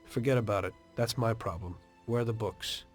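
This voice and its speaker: An actor playing a conscientious, streetwise American mobster in a video game, plain-spoken and wry, with a weary air. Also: male voice, lowish voice, brisk, faint music behind, close to an everyday voice. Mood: grave, firm. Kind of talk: dramatic video game dialogue and confessional narration.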